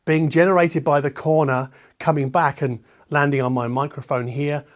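A man's voice: on the high side, fairly even intonation, normal speed. A middle-aged man hosting an audio channel, explaining things to camera in a conversational, emphatic way with muttered asides, recorded on a lavalier mic in a living room. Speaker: audio review channel host. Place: treated living room indoors. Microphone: omnidirectional lavalier.

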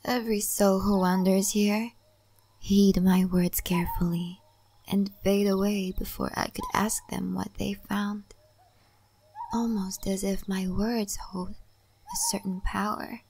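A woman playing a calm, wise, mysterious guide of a spirit forest in an ASMR roleplay, speaking gently and softly, often in a breathy whisper, with pauses. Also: medium pitch, slight background noise. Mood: calm, reflective. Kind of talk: soft-spoken, half-whispered ASMR roleplay.

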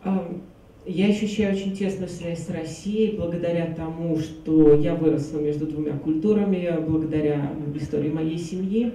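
A woman interpreting a lecture in a large hall, speaking in a smooth, formal way. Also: fairly low pitch, fairly even intonation, normal speed, mellow, slight background noise. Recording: echoey hall from far off.

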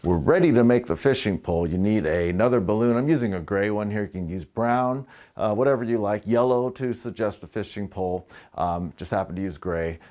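A middle-aged man with a fairly low voice talks through a balloon-twisting demonstration in his living room. His delivery is relaxed and chatty, full of small fillers and dry little asides. The sound is close and clean from a lapel mic. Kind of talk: casual tutorial demonstration. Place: living room with fireplace, indoors.

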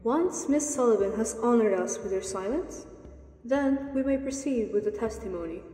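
A composed, stern judge played by a woman in a radio drama. She speaks formally in a mellow voice. Her words echo with long reverb. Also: fairly high pitch, expressive, lightly acted. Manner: formal, measured. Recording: echoey, long hall reverb.

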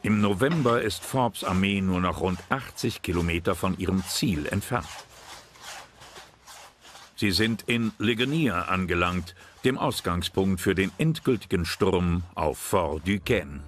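Deep-voiced male documentary narrator. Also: lively intonation, unhurried. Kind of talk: scripted historical documentary narration. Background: music.

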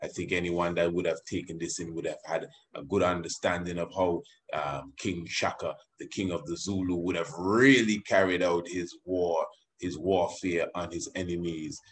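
A man's voice: deep voice, steady tone, moderate pace. A man presenting a homeschooling program in informal promotional commentary, often repeating and rephrasing himself. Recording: faintly noisy voice recording.

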